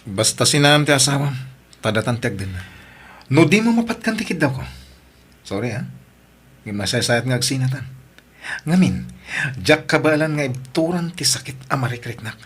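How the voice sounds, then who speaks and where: Swooping, possessive lover. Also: male voice, mid-range voice, sing-song, moderate pace, long pauses, fairly bright tone, breathy edge. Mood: demanding, hurt. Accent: Filipino. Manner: insistent, emotional. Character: possessive male lover, demanding and wounded. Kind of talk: audio drama acting.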